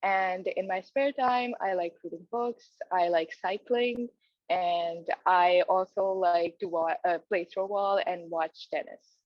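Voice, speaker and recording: A young woman from Pakistan answers interview questions in a steady mid-range voice, earnest and a little halting. The sound is close and dry but dull, like a lo-fi video call. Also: fairly low pitch, dry recording. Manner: earnest, with many hesitant fillers and restarts. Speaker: residency applicant. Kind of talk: mock job interview answers.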